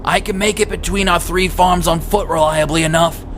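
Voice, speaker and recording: A man voicing a gruff, agitated farmer in a horror audiobook, shouting and insistent. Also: sits high, steady tone, moderate pace, a little hiss or hum, pitched above the performer's own voice. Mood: urgent, embarrassed. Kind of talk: dramatised horror audiobook character.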